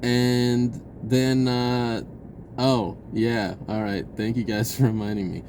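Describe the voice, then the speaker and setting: A man telling a personal story in a casual, rambling vlog style, slangy and informal, often halting and restarting his sentences. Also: medium pitch, level tone, a little mellow, a little hiss or hum. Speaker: vlogger.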